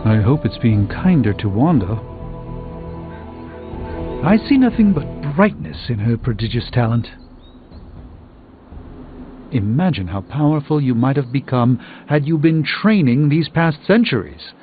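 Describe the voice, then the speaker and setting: A man's voice: medium pitch, animated, unhurried, long pauses, natural voice. Man playing an erudite, theatrical sorcerer hero over music. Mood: admiring. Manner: formal, wordy, theatrical, dryly ironic. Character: erudite, dryly witty adult male sorcerer superhero. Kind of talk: video game voice acting. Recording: dull game audio under music.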